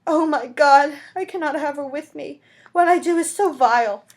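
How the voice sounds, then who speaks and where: A woman's voice: sits high, moderate pace, voice pushed up, natural voice. A woman voicing a destitute, desperate mother in a storybook reading, pleading tearfully in an agitated way.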